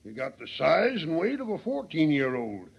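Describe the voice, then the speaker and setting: An actor playing a folksy, skeptical Old West sheriff with an American accent and a gravelly voice, in 1930s radio western style. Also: male voice, fairly high pitch, wildly swooping pitch, normal speed, lo-fi sound, full character voice. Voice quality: gravelly, husky. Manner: drawling rustic speech, skeptical.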